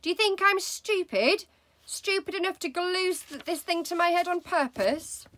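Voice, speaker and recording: A woman doing a skinny irate dad, shouting, high strained voice, bright tone, storybook. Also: moderate pace. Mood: furious. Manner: shouting, spluttering, furious. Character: skinny irritable middle-aged man, father.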